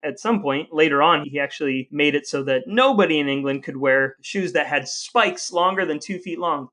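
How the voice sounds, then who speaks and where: Higher-voiced man hosting a wry podcast. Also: a bit bright. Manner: wry, tongue-in-cheek. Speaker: podcast host. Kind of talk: semi-scripted podcast narration and interviewing.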